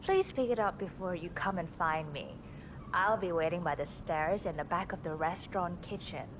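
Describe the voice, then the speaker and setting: A woman's voice: fairly low pitch, normal speed, lightly acted. A woman voicing a polite young facility guide. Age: 20s. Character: young female contact, polite and procedural. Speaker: game character. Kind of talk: video game voice acting. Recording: game audio with noisy ambience.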